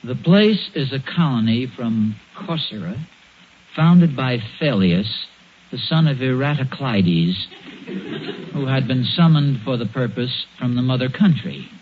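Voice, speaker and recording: A man's voice: medium pitch, expressive, unhurried, lightly acted. An American man playing a bumbling, stubborn suburban dad who hems and haws through a 1940s radio comedy. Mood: mildly flustered. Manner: hesitant, hemming and hawing. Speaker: sitcom lead. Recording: muffled, noisy vintage radio broadcast.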